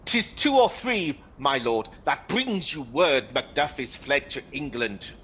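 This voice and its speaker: A man's voice: mid-range voice, expressive, takes it slowly, a bit bright. A man playing a deferential royal attendant in an amateur Shakespearean radio play. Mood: dutiful. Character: deferential male royal attendant bearing news.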